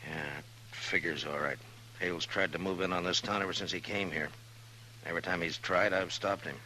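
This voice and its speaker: A stoic frontier marshal in a 1950s radio western. His voice is very low and hard-edged, and his speech is terse, dry and world-weary. A faint noise lies under the old broadcast recording. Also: steady tone, moderate pace, sharp tone, rough recording. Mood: grim. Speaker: town marshal.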